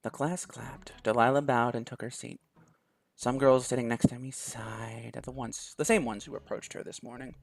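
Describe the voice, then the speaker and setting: A man reading a visual novel aloud in a measured, storytelling manner. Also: medium pitch, steady tone, vocal fry. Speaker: game streamer.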